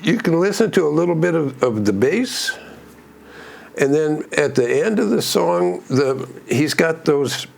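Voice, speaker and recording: A man with a gruff voice hosting a casual music breakdown, rambling with restarts and plenty of enthusiasm. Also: fairly high pitch, animated, measured pace, a little mellow. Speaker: music channel host.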